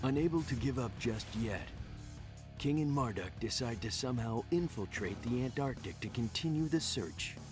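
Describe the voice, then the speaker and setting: A man's voice: medium pitch, expressive, moderate pace, music in the background, close to an everyday voice. A man reading scripted story narration for a video game.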